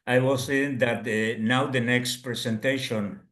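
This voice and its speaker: A man moderating a webinar who introduces the next speaker in a halting way, with many pauses and fillers. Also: mid-range voice, steady tone, a bit bright, reverberant. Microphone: webinar recording.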